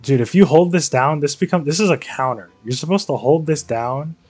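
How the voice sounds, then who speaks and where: A man's voice: fairly high pitch, brisk, darkish tone, slightly rough. A man giving casual, slangy, sweary live commentary as a game streamer. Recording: game music behind the voice. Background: video game music.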